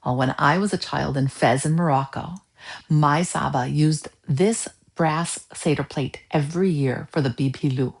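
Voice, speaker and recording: Woman reading as a gentle old grandfather. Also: low voice, unhurried, creaky. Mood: calm reminiscing. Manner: calm, storytelling. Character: gentle, reminiscing old grandfather in a children's storybook.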